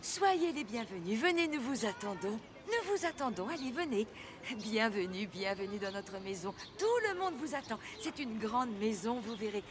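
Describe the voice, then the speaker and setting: A woman's voice: fairly high pitch, animated, normal speed, crowd noise, natural voice. A woman playing a gushing, eager wedding hostess in a French film dub. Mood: gushing welcome. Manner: effusive, eager. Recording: music behind the voice.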